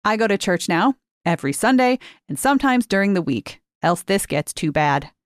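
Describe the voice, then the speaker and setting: A woman's voice: medium pitch, animated, normal speed, no echo, studio quality, close to an everyday voice. A woman voicing a stern, curt elderly retired teacher who talks in clipped, blunt sentences.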